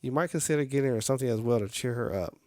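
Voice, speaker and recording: A man's voice: medium pitch, rapid-fire, a little mellow, no echo, clean studio sound. A man streaming a game, reading the story aloud and dropping in casual reactions. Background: music.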